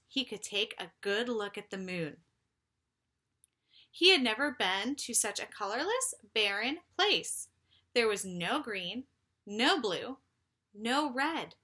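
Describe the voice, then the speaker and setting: Woman, teacher read-aloud, bright voice, highly animated, slightly slow, emphatic phrasing for children.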